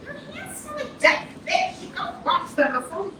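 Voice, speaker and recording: A woman's voice: very high voice, huge pitch swings, unhurried, sharp tone, full character voice. An actress playing a doting, chiding mother calling out to her children in a staged play reading. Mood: fussing. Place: arts center stage. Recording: very echoey hall, distant, faint noise.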